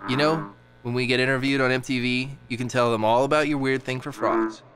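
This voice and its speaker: A man's voice: medium pitch, fairly even intonation, a little fast, fairly bright tone, clean tone, close to an everyday voice. A male actor in an audio drama playing an irritable, sarcastic young band member. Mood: irritated, sarcastic.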